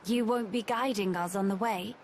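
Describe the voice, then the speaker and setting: A woman's voice: medium pitch, moderate pace, a little mellow, slight background noise, natural voice. A woman playing a poised, regal young warrior in a video game, formal and composed. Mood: concerned, questioning. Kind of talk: video game cutscene acting.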